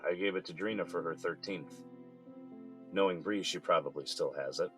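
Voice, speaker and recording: Man playing a tired bitter jailed dad, very deep voice, sighing, resentful. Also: normal speed, fairly bright tone, soft background music. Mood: weary. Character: jailed father, weary and bitter. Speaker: son calling his mother from jail. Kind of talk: audio drama acting.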